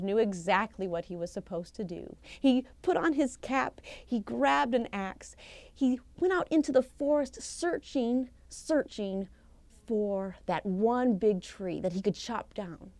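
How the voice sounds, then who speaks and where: A woman telling a folktale live as a professional storyteller, expressive and theatrical, with deliberate pauses and emphasis. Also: mid-range voice, no room sound. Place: live storytelling session at a conference.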